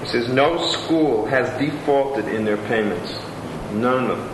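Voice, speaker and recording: A man's voice: mid-range voice, measured pace. A man reading letters and reviews aloud, plain and attentive, adding his own asides. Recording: roomy room with clear background noise.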